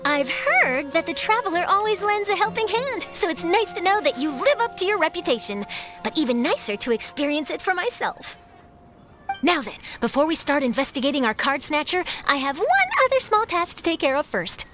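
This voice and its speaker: A woman's voice: extremely high pitch, very animated, moderate pace, over music. A woman voicing an eager young female news reporter in a video game, perky, persuasive and theatrical. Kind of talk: animated video game voice acting.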